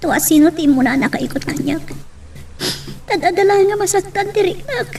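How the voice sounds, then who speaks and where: A woman's voice: very high-pitched, expressive, moderate pace. A woman playing a hurt, devoted young wife in an Ilocano audio love drama, with an Ilocano accent. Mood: hurt, tearful. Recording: slightly distant mic with music bed.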